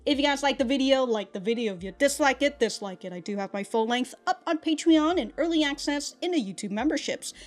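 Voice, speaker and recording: A young woman in a small room chats through her reaction to an anime episode in a slightly high voice. She is animated, laughs often, and throws in the odd swear. She is recorded close on a wired earphone mic. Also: brisk, few pauses. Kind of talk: casual reaction commentary. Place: small room at a desk, indoors.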